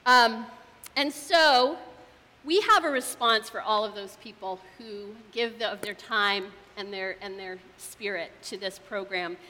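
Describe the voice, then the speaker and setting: A woman's voice: medium pitch, measured pace, sharp tone. A woman who leads a church's faith formation program, addressing the congregation through a microphone with enthusiastic, thankful, rhythmic lists. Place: church sanctuary. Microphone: handheld or stand microphone. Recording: reverberant church hall, faint hiss.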